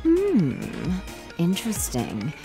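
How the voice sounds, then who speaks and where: A woman playing a cool, calculating, menacing character in a video game, speaking deliberately. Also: lowish voice, wildly swooping pitch, full character voice. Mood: sly interest. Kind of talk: video game cutscene acting. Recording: loud music behind the voice.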